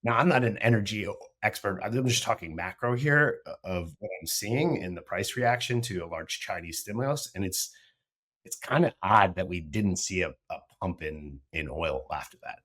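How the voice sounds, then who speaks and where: A man co-hosting a casual market discussion, animated and full of trailing restarts. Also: sits low, moderate pace. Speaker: podcast co-host.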